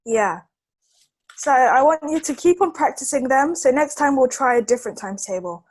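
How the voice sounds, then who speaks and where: Female tutor, very high voice, supportive and reassuring, guiding a young pupil one-on-one. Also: lively intonation, moderate pace. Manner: encouraging, reassuring.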